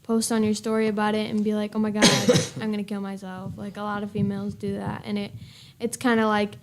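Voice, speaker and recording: A woman's voice: mid-range voice, flat delivery, fairly quick, background noise. A young woman chatting casually as a guest, rambling and filling her talk with "like" and "um.". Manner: rambling, filler-heavy, uses "like" a lot. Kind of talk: casual chat.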